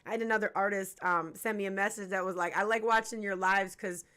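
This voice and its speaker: A woman's voice: medium pitch, fairly even intonation, quick pace, pushed and bright, clean tone. A woman in her forties hosting a casual hip-hop interview livestream, chatty, candid and slangy, swearing freely. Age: 40s. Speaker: host of a music review livestream.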